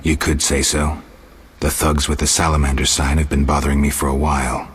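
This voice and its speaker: An actor playing a gruff, laconic monster-hunting mercenary in a video game, speaking tersely and dryly with a gravelly, husky voice. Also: male voice, a bass voice, a little fast, exaggerated voice. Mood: deadpan. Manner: terse, dry, deadpan. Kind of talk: video game character acting.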